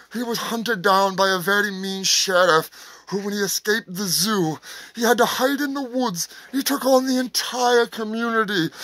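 Guy playing a crazed meth-head zoo owner, super high raspy yell, gravelly edges, crude and bragging. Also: no room sound, heavily acted. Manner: rowdy, profane, boastful showman pitch. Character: manic meth-smoking male roadside zoo owner. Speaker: comedian in character. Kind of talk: crude sketch comedy monologue.

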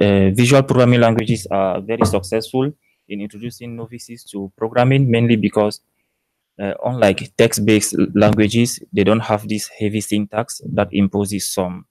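A young man with a Cameroonian accent giving a technical conference presentation in a measured, explanatory way. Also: fairly low pitch, darkish tone, no room sound, poor audio. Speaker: PhD student presenting research. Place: indoor presentation room.

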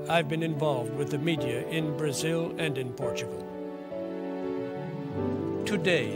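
An older man hosting a television program from a studio set, speaking in a measured, courteous way. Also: mid-range voice. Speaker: host. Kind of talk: scripted television presenting.

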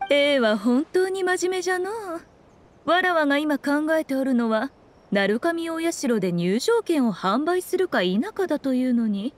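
An actress playing a teasing, ageless fox shrine maiden in an anime game, with lofty, playful, old-fashioned phrasing. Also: female voice, sits high, lively intonation, unhurried. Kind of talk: scripted anime game dialogue.

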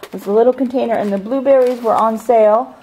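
A woman's voice: a little high, steady tone, quick pace, warm tone, slight background noise, rough recording. A married woman at home, chatting casually and rambling with many ums as she shows her groceries to a handheld camera. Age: adult, married. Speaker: vlogger showing a grocery haul. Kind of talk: casual chat over a haul. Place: home, indoors at a table of groceries.

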